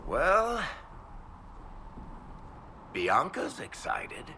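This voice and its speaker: An actor playing a cocky, wisecracking rogue storyteller dwarf in a video game, with a sardonic, playful swagger. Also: male voice, fairly high pitch, wildly swooping pitch, extremely slow, gravelly, pushed and bright, slight background noise, full character voice. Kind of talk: video game cutscene acting.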